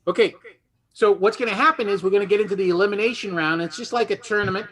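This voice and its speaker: A man with a high, very bright voice hosts a game show. He talks off the cuff and jokes with the players as he moves things along. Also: fast talker. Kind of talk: unscripted, joking game show hosting.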